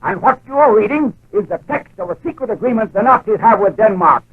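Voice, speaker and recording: High-pitched, husky, indignant businessman. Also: lively intonation, fairly quick. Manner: blunt, emphatic. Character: indignant, hot-tempered American businessman. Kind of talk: 1940s radio acting. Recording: tinny, narrow-band 1940s radio recording.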